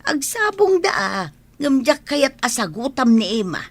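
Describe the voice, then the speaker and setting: A woman's voice: sits high, very animated, unhurried, exaggerated voice. An actress playing an exasperated, protective elderly mother in a radio drama, with an Ilocano accent. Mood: nagging, exasperated.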